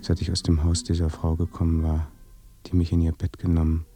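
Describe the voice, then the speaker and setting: Low, gravelly, haunted dead man. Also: monotone, a little fast, music in the background. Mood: haunted, brooding. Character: dead son recounting his death by fear, haunted, weary. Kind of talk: German radio play acting, slow intimate monologue.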